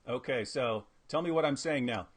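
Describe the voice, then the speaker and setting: A man's voice: medium pitch, normal speed, husky. A man hosting a live interview over a video call, asking questions and cracking teasing jokes. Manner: joking, teasing. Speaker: host.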